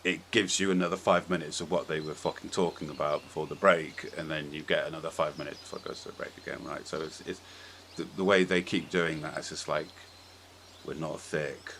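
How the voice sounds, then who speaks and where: Deep-voiced man chatting on a podcast. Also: flat delivery, brisk, fairly bright tone. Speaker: podcast host. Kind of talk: casual opinionated podcast chat. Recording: clearly audible background noise.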